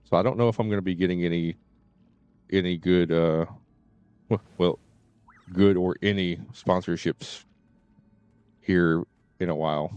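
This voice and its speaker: A man's voice: low voice, steady tone, unhurried, pauses often, a little mellow, smooth, no room sound, lo-fi sound. A man chatting casually on a livestream, informal and rambling, with repeated filler phrases and some cursing. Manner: informal, mildly cursing, repetitive "you know". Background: faint game music and dialogue.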